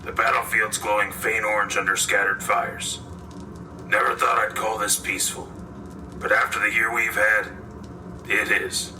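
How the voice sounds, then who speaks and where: A man's voice: fairly low pitch, level tone, unhurried, recorded from a distance, background noise, lightly acted. A man playing a weary, resolute war correspondent giving a terse, solemn scripted radio dispatch in clipped phrases. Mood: reflective.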